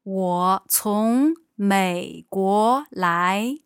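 Female language teacher, native Chinese speaker, very slow deliberate delivery, dry recording. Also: medium pitch, no room sound. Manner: models words slowly and clearly. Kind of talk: language lesson podcast.

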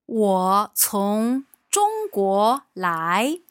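A woman teaching Chinese on a podcast, whose first language is Chinese, modeling words clearly for learners. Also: mid-range voice, very animated, extremely slow, no room sound, clean studio sound.

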